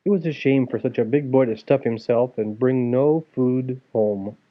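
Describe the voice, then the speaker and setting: A man's voice: mid-range voice, normal speed. Male narrator for children's fairy tales: mid-range voice, storytelling delivery, narrow tinny recording. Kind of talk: animated reading of a children's fairy tale. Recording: tinny, phone-like narrow sound.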